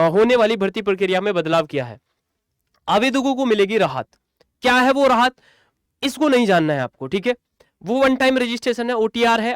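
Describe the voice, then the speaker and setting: A man's voice: high-pitched, clear, resonant tone, dry recording, clean studio sound. A man speaking as a coaching teacher, explaining an update to students in an emphatic, repetitive, direct way.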